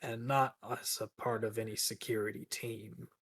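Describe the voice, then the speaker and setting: A man's voice: on the low side, fairly even intonation, moderate pace, a bit bright, no room sound. A man playing in a tabletop roleplaying game, improvising in character in an earnest, terse way.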